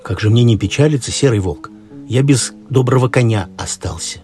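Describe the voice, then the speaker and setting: A man's voice: mid-range voice, moderate pace, a little mellow, close to an everyday voice. A man voicing a dutiful young prince in a fairy tale, respectful and a little plaintive. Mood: downcast. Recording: music behind the voice.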